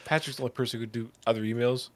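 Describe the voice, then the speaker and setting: A man's voice: mid-range voice, steady tone, fast, a bit bright. A man in his thirties, a livestreamer chatting casually with friends at home, rambling and swearing with plenty of laughs, on a headset and desk mic. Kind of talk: casual chat with friends while gaming. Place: home room, indoors.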